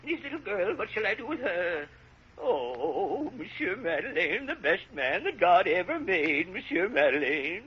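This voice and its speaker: An actor playing an old peasant gravedigger in a radio drama, wheedling and excitable, with a wavering, stammering delivery. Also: male voice, measured pace, husky, full character voice. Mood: grieving. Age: older. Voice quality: high-pitched, wavering old man's voice. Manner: hesitant, stammering, exclamatory. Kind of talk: golden age radio drama acting. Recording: muffled, noisy old radio broadcast.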